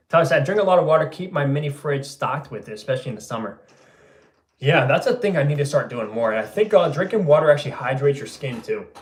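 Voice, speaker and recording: A young man hosting a fragrance livestream and reading out viewer comments in an easygoing way, his voice going a little hoarse. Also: fairly high pitch, roomy sound. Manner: laid-back, loose, says bro and man a lot. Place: room at home.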